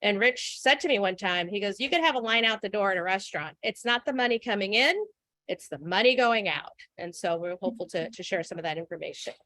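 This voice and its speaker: Woman, forties, earnest talk with fillers, bright tone, dry sound. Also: mid-range voice, expressive, fast, no room sound. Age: 40s. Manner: earnest, frank, many fillers. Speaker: guest speaker. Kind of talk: webinar presentation.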